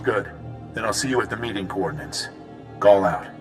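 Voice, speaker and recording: An actor playing a grave, dutiful military commander of a prisoner unit in a video game, speaking earnestly and formally. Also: male voice, on the low side, expressive, normal speed, close to an everyday voice. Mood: businesslike. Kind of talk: video game dramatic dialogue. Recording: long reverb, loud music underneath.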